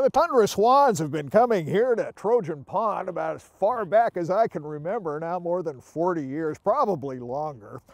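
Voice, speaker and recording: Upbeat, lively middle-aged male host. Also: a little high, no room sound. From Oregon. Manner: upbeat, folksy storytelling. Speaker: travel show host. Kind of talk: scripted travel narration. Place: outdoors in woods and by a roadside.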